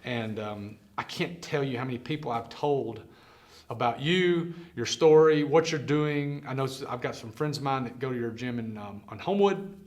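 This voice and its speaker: A man's voice: medium pitch, moderate pace, a little mellow. A man hosting a casual interview, talking in an effusive, chatty and informal way. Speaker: interview host.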